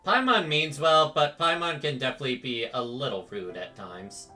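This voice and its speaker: A man's voice: mid-range voice, moderate pace, music in the background. A man streaming a casual reaction to a game, speaking hesitantly with wry sympathy. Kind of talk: casual game reaction commentary, reading along.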